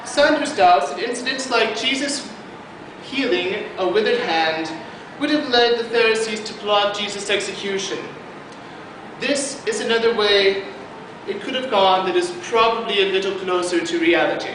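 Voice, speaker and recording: A man's voice: high voice, moderate pace. A man narrating a student class project in a matter-of-fact, explanatory way. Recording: very roomy distant noisy home video.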